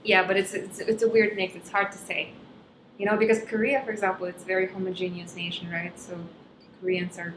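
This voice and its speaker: A woman's voice: mid-range voice, level tone, fairly quick. Woman from Kazakhstan, native Russian speaker, casual chat, hesitant with many ums, roomy echo. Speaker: stand-up comedian, guest. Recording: echoey room with background noise.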